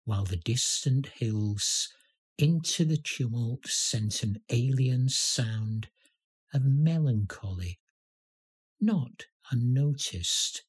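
A man reciting a poem as a reader, with measured, deliberate phrasing. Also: medium pitch, lively intonation, husky. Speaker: poetry reader. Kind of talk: poetry recitation.

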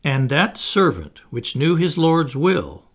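A man's voice: on the high side, big pitch swings, a little slow, vocal fry, a bit bright, full character voice. A man voicing a sarcastic, blustering old plantation owner who mocks and preaches in a drawl. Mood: preaching. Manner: mocking, bullying and preachy, with drawled words.

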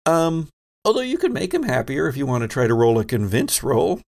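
A man's voice: medium pitch, sing-song, brisk, creaky, darkish tone. A man acting as game master, narrating scenes and explaining rules in a conversational way. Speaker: game master and host of a tabletop role-playing show.

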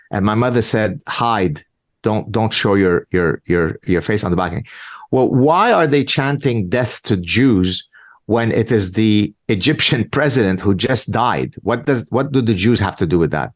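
A lowish-voiced man from Lebanon whose first language is Arabic, in his fifties. He lectures emphatically in an interview, telling personal stories and pressing his points with rhetorical questions. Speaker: guest commentator. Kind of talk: interview answer, storytelling.

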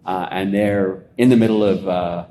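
Deep-voiced Australian man, echoey room. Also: moderate pace. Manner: relaxed, rambling explainer with many fillers. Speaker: guest. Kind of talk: casual conversational interview. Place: conference venue. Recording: echoey room with faint rumble.

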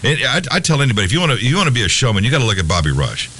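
A man hosting an interview, asking halting questions with many restarts. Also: medium pitch, big pitch swings, bright, forceful tone, slight background noise. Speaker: interview host.

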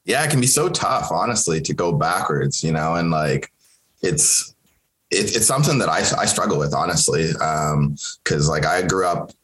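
A young man with a very low, deep voice hosts a conversation. He grew up in Kenya speaking Swahili first. He talks in a loose, friendly way, often pausing with fillers and restarting his sentences. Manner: relaxed and chatty, with many fillers and restarts. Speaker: podcast host. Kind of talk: conversational interview.

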